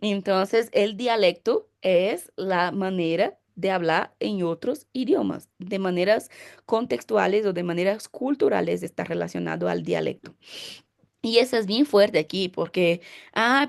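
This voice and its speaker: A woman's voice: medium pitch, gravelly, darkish tone. A woman pastor giving a Bible teaching, explaining emphatically and answering her own rhetorical questions.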